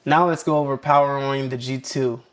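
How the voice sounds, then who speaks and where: Mid-pitched man demonstrating a product. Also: steady tone, moderate pace, fairly bright tone. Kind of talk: step-by-step instructional narration.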